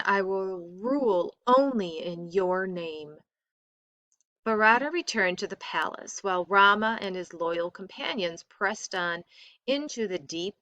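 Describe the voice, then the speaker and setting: Bright-voiced woman reading a story aloud. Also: on the low side, no room sound, dull audio. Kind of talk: expressive story read-aloud.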